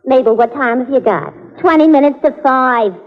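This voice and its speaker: A woman's voice: high-pitched, normal speed, very noisy, lightly acted. A woman playing a gossipy, dim, sassy telephone operator in 1940s radio comedy style, with a drawling manner. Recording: dull 1940s radio recording.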